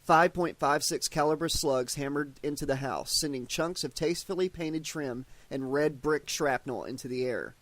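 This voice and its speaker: A man's voice: a little high, normal speed, hardly any pauses, no echo. Dry, close-miked male audiobook narrator. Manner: steady storytelling delivery. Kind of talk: scripted narration.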